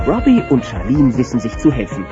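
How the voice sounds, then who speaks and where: A man's voice: mid-range voice, huge pitch swings, fairly quick. A man narrating a scripted children's audio drama about dinosaurs as the storyteller. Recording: dull sound, music underneath.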